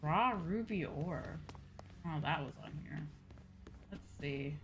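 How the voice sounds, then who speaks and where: A woman giving casual, rambling, soft-hearted live commentary as she streams a video game, speaking into a close mic. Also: sits low, animated, slow pace, a little hiss or hum.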